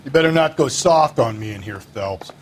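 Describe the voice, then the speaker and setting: A man playing a gruff, impatient, sarcastic police detective in a crime video game. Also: mid-range voice, animated, normal speed, natural voice.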